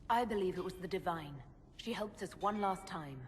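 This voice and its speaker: A woman's voice: lowish voice, moderate pace, a little hiss or hum, natural voice. Woman acting composed, compassionate leader. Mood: questioning, sincere. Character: composed, compassionate female leader of a holy order. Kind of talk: video game dramatic acting.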